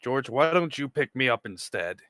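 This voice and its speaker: A man's voice: mid-range voice, animated, moderate pace, fairly bright tone, no echo. A man chatting casually as a player in a tabletop role-playing game, informal and jokey.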